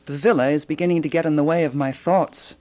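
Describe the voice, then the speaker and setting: A woman's voice: low voice, fairly even intonation, fast, dark tone, lightly acted. An actress playing a fussy, self-important, eccentric woman sleuth in a radio drama, theatrical and given to pondering aloud. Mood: ponderous, musing.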